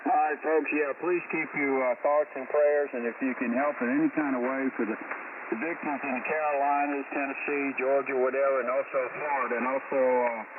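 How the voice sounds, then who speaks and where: A man's voice: mid-range voice, flat delivery, a little fast. A man talking casually as a net controller over shortwave ham radio. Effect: heard over shortwave radio. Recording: tinny narrow radio band, loud static, distant.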